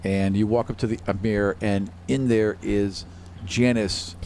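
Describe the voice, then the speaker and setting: A man's voice: on the low side, steady tone, a little slow, a little mellow. A man acting as game master, improvising narration and chatting casually with players, with some background noise.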